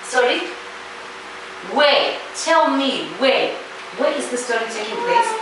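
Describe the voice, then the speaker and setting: A woman's voice: sits high, very animated, slow. A woman with a Zimbabwean accent teaching a primary school class, asking questions and insistently repeating them and the answers. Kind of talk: classroom lesson with questions to pupils. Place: classroom, indoors. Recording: echoey classroom, distant mic, clear noise.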